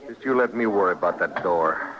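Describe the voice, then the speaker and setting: A man's voice: low-pitched, fairly quick, crowd noise, close to an everyday voice. An actor playing a curt, irritable American gunman in a radio western. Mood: tense. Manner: curt, snappish. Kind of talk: 1950s American radio drama acting. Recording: noisy, muffled old radio recording.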